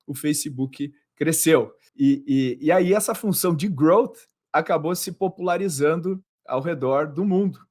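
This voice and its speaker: A man's voice: on the high side, a little slow, a little mellow. A Brazilian man hosting a podcast interview, talking casually and often restarting and repeating words. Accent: Brazilian Portuguese. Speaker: podcast host.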